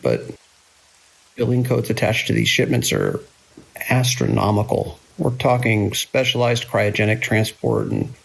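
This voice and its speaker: A man acting a weary hospital director in a horror audio drama. His voice is heavily creaky and husky, that of a conscientious administrator holding things together under siege. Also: lowish voice, exaggerated voice, poor audio. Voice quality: gravelly, husky. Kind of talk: audio drama acting, log dictation and urgent calls.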